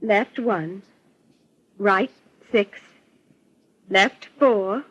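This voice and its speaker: Husky young heiress. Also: female voice, medium pitch, extremely slow, fairly bright tone, heavily acted. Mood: anxious, cooperative. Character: anxious, cooperative young daughter of a wealthy household. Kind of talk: old-time radio mystery drama acting. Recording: muffled vintage radio recording.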